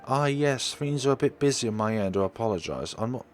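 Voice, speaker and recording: A man's voice: mid-range voice, animated, a little fast, soft background music, natural voice. A man voicing a busy, friendly professional woman realtor in a visual novel, apologetic and slightly hesitant. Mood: apologetic, businesslike. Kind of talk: visual novel dialogue read aloud.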